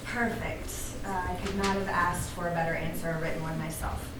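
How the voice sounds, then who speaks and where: A woman leading a workshop, speaking gently and invitingly to a group, with fillers. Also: fairly low pitch, level tone, fairly quick. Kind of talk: guided workshop talk with meditation. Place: room with a live audience. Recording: roomy, distant mic with room noise.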